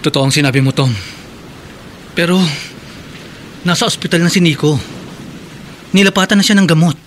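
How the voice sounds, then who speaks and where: A man's voice: fairly high pitch, normal speed, fairly bright tone, slight background noise, close to an everyday voice. An actor playing a guilt-ridden, anxious man in a radio drama. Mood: guilty.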